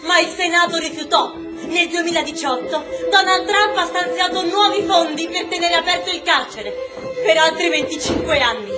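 An extremely high female voice over loud music as a relentless campaigner. She declaims with indignant, theatrical emphasis, challenging those in power. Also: normal speed. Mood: grave, accusing. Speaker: actor. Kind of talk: declamatory Italian radio drama acting.

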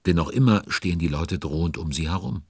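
A man's voice: animated, brisk, dry recording, studio quality, heavily acted. A man narrating a children's audio drama as an avuncular, fretting storyteller, full of exclamations and questions to young listeners. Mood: confiding. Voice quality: very deep, husky.

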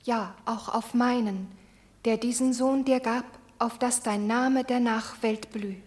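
An actress playing the grieving, devoted wife of a Roman warrior in a classical verse radio drama. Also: female voice, a little high, fairly even intonation, slow, a little mellow. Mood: pleading.

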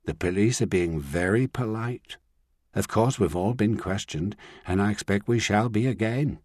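A man puts on a composed older woman, a grandmother who keeps her voice steady and measured. Her voice sits a little higher than his own, and the sound is close and dry, with no room around it. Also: no echo, close to an everyday voice. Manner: calm, measured, reassuring.

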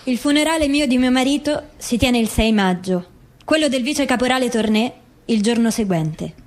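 A murdered wife tells her own case in scripted theatre, played by a woman. Her voice is high for a woman. She speaks in formal, declarative phrases with a probing, questioning manner. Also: animated, moderate pace, darkish tone, close to an everyday voice. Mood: grave, factual. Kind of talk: scripted documentary theatre narration.

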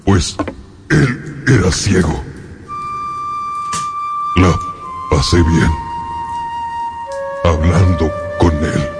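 A deep-voiced, sorrowful monster tells his story in a radio drama. He speaks very slowly and haltingly, brooding and lonely, with faint music underneath. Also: animated, exaggerated voice.

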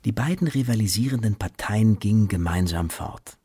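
A man's voice: fairly low pitch, normal speed, dark tone, breathy edge. A man reading scripted literary narration in a radio drama.

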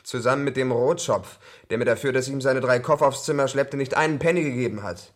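A hotel servant played by a male actor in a radio crime play. His voice sits in a middle register. He is a serving man quick to take offence. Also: mid-range voice, lightly acted. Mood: resentful, grumbling. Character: grumbling, resentful hotel servant, obliging to guests. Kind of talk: German radio crime drama acting.

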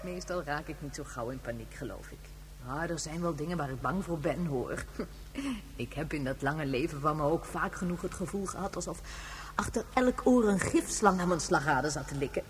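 An actress playing a very old, gentle woman who reflects and reminisces in a measured way, in a science fiction radio drama. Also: low-pitched, expressive. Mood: confiding. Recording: hollow long reverb, humming background noise.

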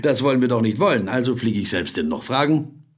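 An actor playing a brisk, self-important male boss chairing a meeting in a radio drama. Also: medium pitch, animated, natural voice. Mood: brisk, decisive. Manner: brisk, authoritative.

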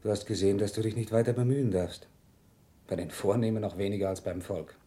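Radio play: actor as concerned common-man husband, deep mellow tone, firm and blunt. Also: fairly even intonation, quick pace, lightly acted. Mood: worried, insistent. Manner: blunt, insistent. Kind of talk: German radio play acting.

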